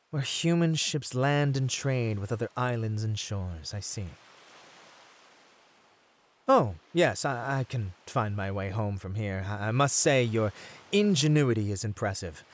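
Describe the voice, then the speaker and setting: A man playing a merman siren in an ASMR roleplay, speaking in courteous, formal phrases as a seemingly gentle creature with a predatory streak. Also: medium pitch. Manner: soft, courteous, formal, halting speech. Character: male merman siren, feigning gentle innocence, secretly predatory.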